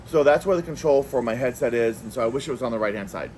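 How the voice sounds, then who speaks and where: A man giving a casual hands-on product review, talking in a halting way and repeating words as he goes. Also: medium pitch, expressive, darkish tone, a little hiss or hum.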